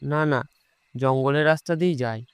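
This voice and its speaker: A man playing an ordinary, impulsive young man in an animated horror story, conversational and often repeating his words. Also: medium pitch, fairly quick, clear, resonant tone, dry recording, close to an everyday voice. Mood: musing, hesitant.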